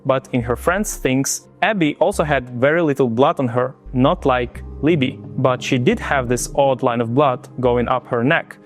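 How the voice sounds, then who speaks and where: A man's voice: mid-range voice, moderate pace, few pauses, soft background music. Chatty Ukrainian-accented man. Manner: chatty, talks straight to viewers. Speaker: true crime channel host. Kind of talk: casual true crime narration.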